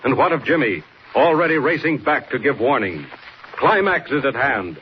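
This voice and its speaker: A male announcer narrates a 1940s radio adventure serial in a dramatic, declamatory way. His voice is mid-pitched. The recording is dull and plainly noisy. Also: very animated, normal speed.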